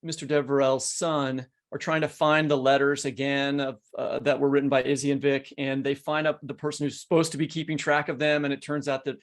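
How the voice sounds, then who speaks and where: A man co-hosting a literary podcast, talking casually and haltingly as he thinks his questions out aloud. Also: medium pitch, level tone. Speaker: podcast co-host.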